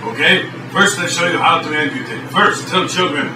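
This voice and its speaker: An actor playing a blunt, deadpan foreign man who speaks in broken English and gives brutal how-to lessons, recorded in an echoey room from a distance with background noise. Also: on the high side, moderate pace, natural voice. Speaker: comedy sketch character host. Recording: roomy echo, distant mic, ambient noise.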